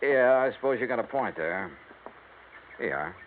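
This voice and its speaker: A man's voice: medium pitch, animated, normal speed, slight background noise, natural voice. An American man playing a shrewd, wry, hardboiled insurance investigator with clipped delivery in a radio drama. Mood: smooth, casual. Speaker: lead actor and narrator. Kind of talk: hardboiled golden age radio drama acting. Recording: muffled vintage radio recording, music behind.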